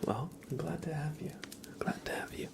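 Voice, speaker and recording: A man hosting an ASMR livestream, speaking in a gentle, mostly unvoiced whisper and repeating phrases unhurriedly. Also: fairly high pitch, normal speed, creaky. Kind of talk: ASMR, greeting viewers and tracing their names.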